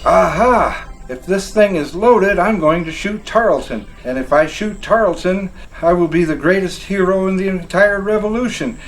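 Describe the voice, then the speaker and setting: A man voicing a boastful, excitable young colonial sergeant who blusters and panics by turns, pitched well above his own voice. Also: a little slow, faint music behind, lightly acted, rough recording. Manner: frantic, blustering, brash.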